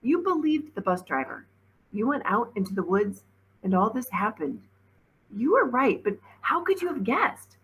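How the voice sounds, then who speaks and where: A woman's voice: fairly high pitch, big pitch swings, normal speed, fairly bright tone, a little hiss or hum, pitched above the performer's own voice, rough recording. A woman voicing a frightened, impulsive young boy with urgent questions in a storybook reading. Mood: alarmed, questioning.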